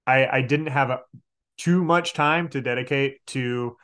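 A man's voice: mid-range voice, a bit bright. Enthusiastic man chatting as a podcast guest. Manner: enthusiastic, halting with repeated words. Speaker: podcast guest, tabletop game master and elementary teacher. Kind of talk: casual podcast interview chat.

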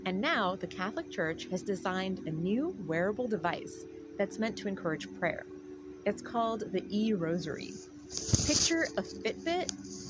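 A woman hosting a podcast, reading scripted narration in a conversational way. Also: mid-range voice. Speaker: podcast host.

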